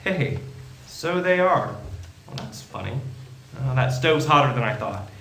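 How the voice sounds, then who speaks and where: A man playing a bewildered small-town family man in a radio play, folksy and excitable, with a stammer in his delivery. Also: medium pitch, a little slow, slight background noise. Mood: puzzled, jaunty. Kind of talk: stage radio play acting. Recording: roomy stage sound, faint music.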